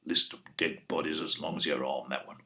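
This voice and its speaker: A man's voice: a bass voice, fairly even intonation, fairly quick, sharp tone. A man playing a dry, forgetful older English husband in an audio play, wry, offhand and old-fashioned in manner.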